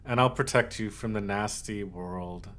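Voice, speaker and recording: A man playing an obsessive, possessive, lovesick yandere in a scripted ASMR roleplay, speaking haltingly with cooed endearments. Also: on the low side. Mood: soothing, possessive. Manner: halting, cooing endearments, swings from hushed to insistent.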